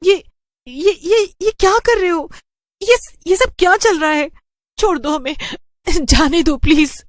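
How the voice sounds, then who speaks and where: A woman's voice: very high-pitched, slow, dry recording, voice pushed up. A woman voicing an anxious, complaining young working wife in a cartoon story. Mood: panicked. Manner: exclaiming, fretful. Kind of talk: animated cartoon story voice acting.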